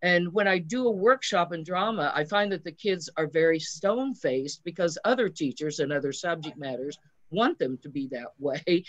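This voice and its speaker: A woman theatre director and drama teacher explaining her craft in an interview, instructive and emphatic. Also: lowish voice.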